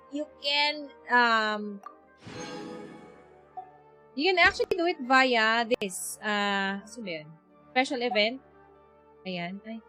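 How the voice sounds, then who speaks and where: Filipino girl streamer, kinda high voice, chill game chat thinking out loud, music in the background. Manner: easygoing, switches between English and Tagalog, thinks aloud. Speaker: game livestreamer, host. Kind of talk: casual livestream chat while playing a game.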